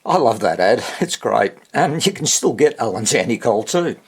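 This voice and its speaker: A man's voice: mid-range voice, very animated, moderate pace, a little hiss or hum. A man with an Australian accent chatting casually to camera about a repair, rambling and thinking aloud in an easygoing way. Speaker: host of a vintage radio repair channel.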